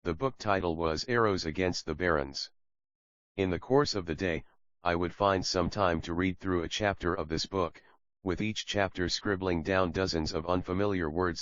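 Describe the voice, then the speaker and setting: A Russian-accented man in his fifties reads his own memoir aloud, with a very low voice and a plain, unhurried delivery. English is not his first language. The recording is dry and a little dull, with no room sound. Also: fairly bright tone, no room sound. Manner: plain, unhurried reading with non-native phrasing. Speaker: author reading his memoir. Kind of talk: audiobook memoir narration.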